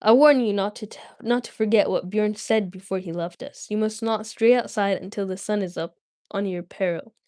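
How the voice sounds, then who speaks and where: A woman voicing an old male wizard who gives an earnest, measured warning in a storybook reading. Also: mid-range voice, a little mellow, dry recording, clean studio sound, close to an everyday voice.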